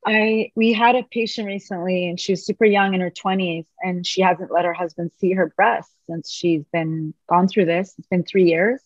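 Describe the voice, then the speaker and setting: A woman speaking quickly and with animation as a podcast guest, chatting in a remote interview. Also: fairly low pitch, no room sound, lo-fi sound. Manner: fast, animated talker. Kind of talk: casual conversation.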